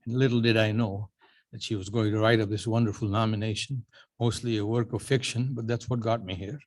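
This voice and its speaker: An older man in his seventies, originally from Uganda, delivers a prepared acceptance speech. He tells his life story at a measured pace, with dry, self-mocking humor. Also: fairly low pitch, flat delivery. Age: 70s. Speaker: award honoree giving a speech.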